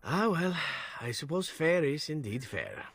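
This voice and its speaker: An actor playing a glib, charming captured assassin in a fantasy video game, wry and ornately polite. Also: male voice, a little high, big pitch swings, measured pace, fairly bright tone, breathy edge, no room sound, heavily acted. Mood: sardonic.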